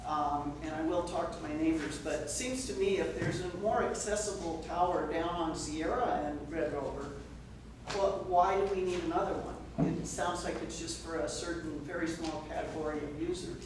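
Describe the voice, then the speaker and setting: Man, local resident at a community meeting, high voice, informal questioning delivery, off-mic in heavy echo. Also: normal speed. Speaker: local resident raising concerns. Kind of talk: informal public comment at a meeting. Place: public meeting room. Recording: very echoey room, distant mic, noisy.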